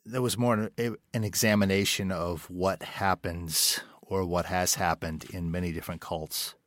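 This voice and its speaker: Deep-voiced man answering interview questions. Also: fairly even intonation, normal speed, fairly bright tone, no echo, studio quality. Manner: thoughtful, searching for words with many restarts and fillers. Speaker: writer and director, interview guest.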